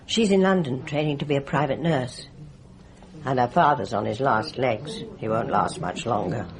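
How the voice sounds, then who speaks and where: Very low-voiced gossipy nurse. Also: female voice, normal speed. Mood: indignant, wry. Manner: confiding, knowing gossip. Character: gossipy, sharp-tongued district nurse, enjoying scandal. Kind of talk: radio mystery drama acting.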